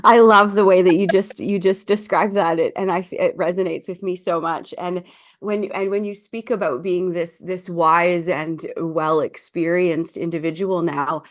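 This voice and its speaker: A woman's voice: fairly low pitch, steady tone, normal speed. A woman in her forties, a recovery coach and podcast guest, speaking in an earnest, reflective way. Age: 40s. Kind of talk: casual interview chat.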